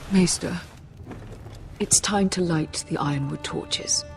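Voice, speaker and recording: A solemn middle-aged lady of a northern house in a fantasy game. Her voice is low and she speaks with measured gravity. Music plays clearly behind her. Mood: solemn, tender. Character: grave noble mother, lady of a northern house. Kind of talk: dramatic video game acting. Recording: music under the voice.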